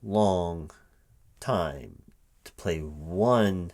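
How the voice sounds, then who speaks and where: A man playing a baffled, incredulous recruiting manager in a spoken ASMR job interview roleplay, halting and repeating himself in disbelief. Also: deep voice, lively intonation, darkish tone, exaggerated voice. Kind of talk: one-sided ASMR roleplay, softly paced but spoken aloud, improvised.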